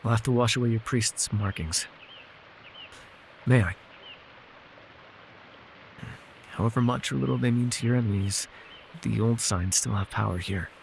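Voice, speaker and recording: A man playing a gentle, weary immortal elf healer with a wry streak, speaking softly in measured, formal phrases for an intimate fantasy ASMR roleplay. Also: medium pitch, vocal fry, slight background noise, lightly acted, poor audio. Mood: soothing.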